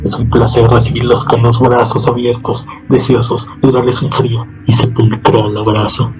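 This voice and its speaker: A man hosting a horror radio show in a slow, solemn, ceremonious manner. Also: mid-range voice, flat delivery, music in the background. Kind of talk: scripted radio drama hosting. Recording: dull lo-fi sound.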